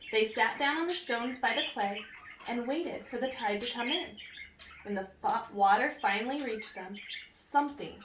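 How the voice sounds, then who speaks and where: A woman reading a picture book aloud to children in an expressive, teacherly way, pausing to ask questions and explain. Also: a little high. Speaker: read-aloud storyteller for children. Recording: roomy, distant mic with faint noise.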